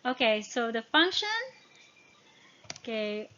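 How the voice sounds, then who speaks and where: A woman with a fairly high voice and a Filipino accent, a native speaker of Tagalog and Visayan, teaches as she reads through study notes. She stumbles and corrects herself on technical words. The recording is somewhat dull and lo-fi. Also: animated, sharp tone. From Philippines. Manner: halting over technical words, self-correcting. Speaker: educator. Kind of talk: informal lesson narration, reading study notes.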